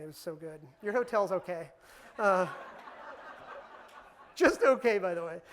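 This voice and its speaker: Woman, Punjabi first language, casual jokey keynote speaker, mid-range voice. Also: mid-range voice. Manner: chatty, teasing the audience, loose with fillers. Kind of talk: conference talk to a live audience.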